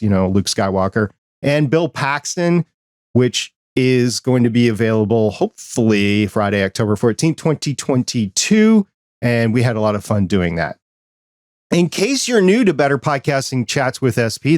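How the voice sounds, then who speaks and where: Guy hosting a podcast, chatty and upbeat, dry close mic, mid pitch. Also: no echo. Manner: chatty, enthusiastic, conversational. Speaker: podcast host. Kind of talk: casual podcast chat and news roundup.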